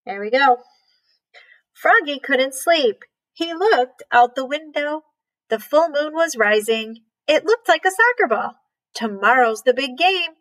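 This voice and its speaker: A woman's voice: fairly high pitch, fairly bright tone, poor audio. A woman storyteller reading a children's picture book aloud, with playful emphasis on sound words. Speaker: read-aloud storyteller, teacher.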